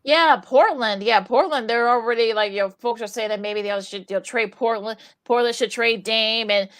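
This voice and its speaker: A woman's voice: fairly high pitch, normal speed, fairly bright tone. A woman co-hosting a casual sports radio show, thinking aloud with many "you know"s and trailing hedges.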